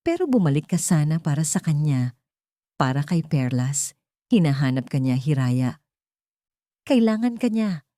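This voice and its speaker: A woman's voice: low voice, very animated, unhurried, long pauses, dark tone, dry recording, studio quality. A woman voicing a desperate, remorseful wealthy man in love, pleading in short, emphatic phrases in an audiobook drama.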